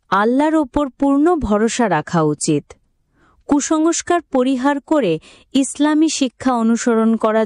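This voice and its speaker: A woman narrating an animated moral story in an even, didactic storytelling manner. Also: on the high side, no echo, clean studio sound.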